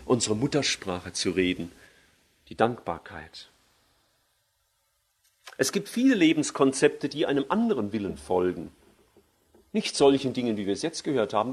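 A German man preaching from the pulpit, his pitch sitting mid-range for a male voice. He speaks with firm emphasis, climbing higher on the key phrases, and slows down for scripture quotes. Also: husky. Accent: German, with a native dialect. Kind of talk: sermon.

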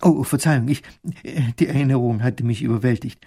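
A man playing a loyal, husky soldier on guard. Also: mid-range voice, a little fast. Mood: delighted. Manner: rasping military snap, softening to friendly deference. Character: dutiful male soldier on guard, simple and loyal.

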